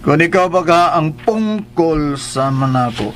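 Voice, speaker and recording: Gloomy, highish-voiced drinking boss. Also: male voice, expressive, measured pace, a bit bright, slight background noise. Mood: bitter, glum. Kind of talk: radio drama acting.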